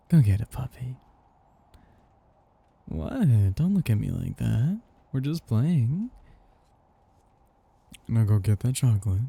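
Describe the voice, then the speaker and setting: A man playing a possessive, condescending vampire master who croons and teases slowly in an intimate ASMR roleplay. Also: lowish voice, heavily acted. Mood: playful, condescending. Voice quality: husky, gravelly, dark. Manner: slow, crooning, teasing, condescending.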